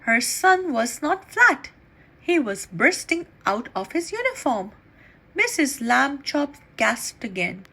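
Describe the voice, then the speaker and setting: A woman reading a children's story aloud in an animated, deliberate storytelling manner. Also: sits high. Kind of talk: expressive read-aloud storytime narration.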